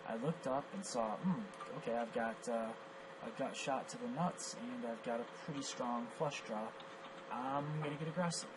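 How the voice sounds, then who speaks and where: A man with a hoarse, worn-out voice talks through poker hands, judging his own play and his opponent's as he goes. His pitch sits in the middle range, and a clear hum of background noise runs under the recording. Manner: analytical, self-critical, thinks aloud with fillers. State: hoarse, lost voice from coughing. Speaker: poker coach reviewing his own match. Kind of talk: screen-recorded hand review commentary.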